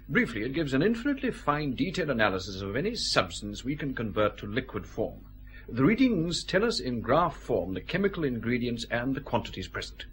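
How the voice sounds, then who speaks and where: An exacting customs scientist in a radio detective drama. He explains in a precise, pedantic manner, his pitch moving in lively swings. He sounds fussy and measured. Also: slight background noise.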